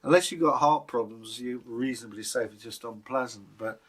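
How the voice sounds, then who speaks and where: A man's voice: mid-range voice, normal speed, a bit bright, slightly rough. A British man co-hosting as a storyteller, telling folktales and chatting in a casual, musing way. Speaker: co-host and storyteller.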